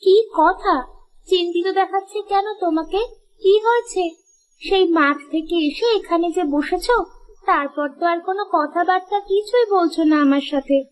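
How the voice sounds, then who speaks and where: A woman as a very high-pitched village wife. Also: normal speed, slight background noise. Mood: concerned. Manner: coaxing, questioning. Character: caring, nagging village farmer's wife. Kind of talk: cartoon moral-story dialogue.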